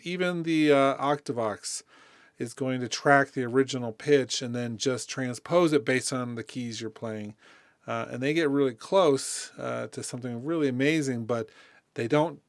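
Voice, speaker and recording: A man's voice: mid-range voice, no echo. Male tutorial host, mid-range voice, conversational and unscripted, thinking aloud, very dry close recording. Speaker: music software tutorial host. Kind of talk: casual unscripted software demonstration.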